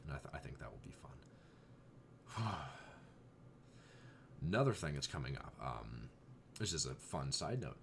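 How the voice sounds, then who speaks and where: A young man vlogging in casual chat, rambling with exasperated asides, his voice going a bit hoarse. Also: extremely low pitch, very animated, moderate pace, pauses often, a bit bright, a little hiss or hum.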